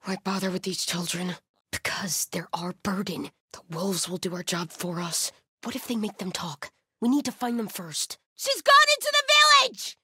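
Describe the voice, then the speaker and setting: A woman's voice: medium pitch, very animated, moderate pace, breathy edge, no room sound, studio quality, full character voice. An actress playing a grim, devout female cult soldier in a video game, with a stern, zealous manner. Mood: urgent, shouting. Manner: solemn, stern, swinging from hushed muttering to shouted alarms. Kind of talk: video game combat voice lines.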